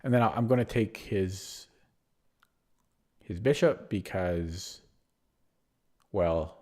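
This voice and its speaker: A man streams online chess, talking through each move out loud in a low, unhurried voice. He hesitates often, fills pauses with ums, and pokes fun at himself as he calculates. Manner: thinking aloud, self-deprecating, hesitant with many fillers. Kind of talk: live game commentary.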